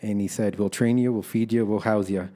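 A middle-aged American man speaks in a fairly low voice with a soft, dark tone. He is an interview guest, recounting personal stories in a candid, conversational style. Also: steady tone, fairly quick. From Los Angeles, United States. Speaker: guest, army veteran.

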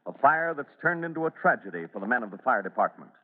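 Casting: male serial narrator, dramatic and emphatic, lively swooping delivery, old-time radio announcer sound on a narrow-band recording. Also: mid-range voice, fast talker.

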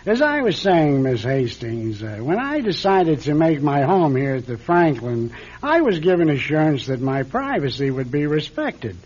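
A man's voice: on the high side, sing-song, normal speed, a little mellow, breathy edge. An actor playing a secretive, irritable male recluse in a radio drama, curt and sarcastic with dry, wary politeness. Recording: dull, lo-fi radio drama recording.

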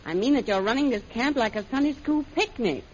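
Brash, animated, hard-boiled adventuress. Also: female voice, fairly high pitch, a little fast, husky. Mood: probing, scornful. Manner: slangy, tough, insolent. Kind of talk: 1930s American radio serial acting. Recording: muffled, noisy old radio recording.

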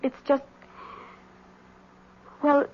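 An actress in a seventies radio drama playing a troubled, guarded, mysterious wife with a husky voice. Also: female voice, drawn-out words. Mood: troubled, wistful. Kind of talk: 1970s radio drama acting. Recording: muffled 1970s radio recording.

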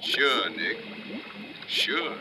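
An actor playing a suspicious boat skipper, clipped and falsely agreeable, in an old-time radio drama. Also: male voice, medium pitch, big pitch swings, drawn-out words, over loud music. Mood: cagey. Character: suspicious, menacing boat skipper toying with his diver. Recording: noisy vintage radio, water ambience.

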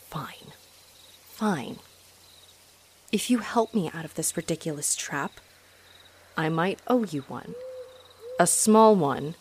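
A woman's voice: sits low, expressive, takes it slowly, long pauses, slight background noise. A woman playing an aggravated, haughty, flirtatious female vampire in a scripted audio roleplay, with mocking, theatrical delivery. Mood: grudging. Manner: mocking, sardonic, theatrical taunting.

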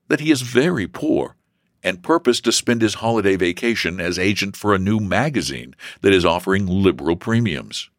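A man's voice: lowish voice, a bit bright, dry recording, clean studio sound, voice pushed up. A man voicing a good-humored, affectionate young brother in a storybook reading.

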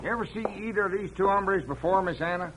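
An actor playing a blunt, stubborn Old West sheriff with an American Western accent in an old radio drama. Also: male voice, sits high, fast, fairly bright tone, slight background noise, rough recording. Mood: businesslike. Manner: blunt, commanding, folksy drawl.

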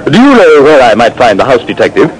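A man's voice: medium pitch, wildly swooping pitch, fast, voice pushed up, poor audio. A man voicing a fussy, formal diamond dealer in an old-time radio drama, prim and a little hesitant. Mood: worried. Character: soberly dressed male diamond dealer, proper and fussy.

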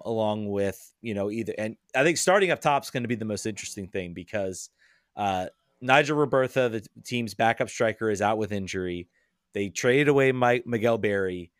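A man with a fairly low voice talks through soccer analysis in a casual way. He is hesitant, often thinking aloud and hedging, and the sound is dry and close. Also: no room sound. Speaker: podcast guest, soccer analyst. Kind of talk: casual sports discussion.

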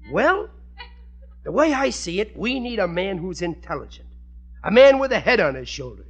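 A man's voice: high-pitched, very animated, a little slow, long pauses, full character voice. An American actor playing a gullible, blustering, self-important working-class family man in 1940s radio comedy, folksy and ungrammatical. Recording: muffled lo-fi old radio recording.

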